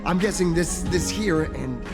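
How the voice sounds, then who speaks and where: A man's voice: fairly high pitch, a little slow, creaky. A man playing a friendly, eager young explorer in a video game, chatty and earnest, with a stammer. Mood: breezy, confident. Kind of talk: video game character dialogue. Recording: loud music behind the voice.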